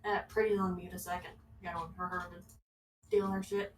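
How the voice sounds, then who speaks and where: A woman's voice: on the low side, level tone, normal speed, distant mic. A blunt, sweary woman chatting casually while moderating a group. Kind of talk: casual, profane group chat.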